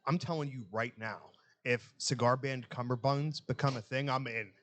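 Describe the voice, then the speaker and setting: A man's voice: medium pitch, steady tone, normal speed. A man with an American accent hosting a podcast in a casual, joking, blunt style with some swearing. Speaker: podcast host. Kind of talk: casual chat.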